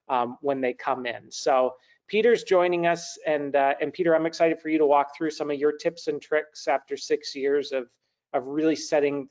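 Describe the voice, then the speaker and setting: A man in his thirties hosting a webinar on a video call, upbeat and rambling with frequent restarts. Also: medium pitch. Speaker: webinar host. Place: home room with brick wall, indoors. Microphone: video call, laptop mic. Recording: dull lo-fi video call audio.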